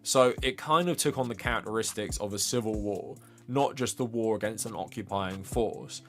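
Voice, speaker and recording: A man's voice: on the low side, moderate pace, a bit bright, faint music behind. A man with an English accent giving informal, opinionated political commentary as a livestream presenter, speaking in a conversational, emphatic way.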